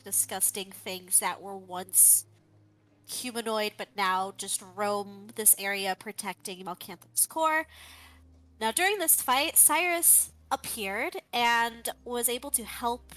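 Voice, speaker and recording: A woman, a player in a tabletop game, telling the recap of the last session in an animated way. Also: a little high. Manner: animated storytelling. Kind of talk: recapping the story.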